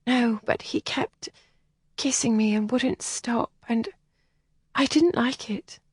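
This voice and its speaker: Woman voicing a tearful, breathy young lady. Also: on the high side, slow, darkish tone, no echo, studio quality, voice pushed up, exaggerated voice. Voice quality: breathy, trembling, often whispered. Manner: pleading and anxious. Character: shy, distressed young woman, tearful and fragile. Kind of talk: audiobook character voicing.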